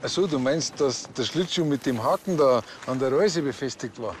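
A man's voice: mid-range voice, animated, normal speed, a little hiss or hum, natural voice. An actor playing a dry, matter-of-fact village policeman with a Bavarian accent in a TV comedy. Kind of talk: screen acting in a police comedy series.

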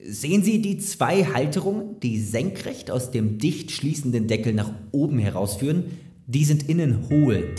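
A man's voice: mid-range voice, animated, normal speed, warm tone, soft background music, close to an everyday voice. Man playing a slick, overeager lawyer, roomy sound. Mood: explaining. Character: slick, overeager male lawyer, condescendingly flattering, evasive. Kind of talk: German audio drama acting.

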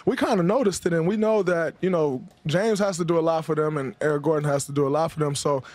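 A young man guest on a postgame studio set, speaking into a handheld mic in a relaxed, thoughtful way with many fillers. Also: fairly high pitch, quick pace. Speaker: guest player.

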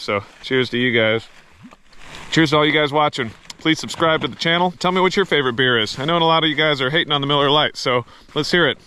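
A man's voice: mid-range voice, a bit bright, slight background noise. A man in his thirties vlogging casually to a handheld camera outdoors in the snow, talking in an easygoing, upbeat way. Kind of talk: casual vlog talk to camera. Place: outdoors on a frozen lake in snowy woods. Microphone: camera held at arm's length. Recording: background music under the voice.

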